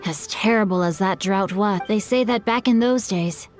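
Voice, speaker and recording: A woman's voice: mid-range voice, normal speed, natural voice. A woman voicing an earnest, thoughtful young storyteller in a video game, explaining things helpfully. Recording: music behind the voice.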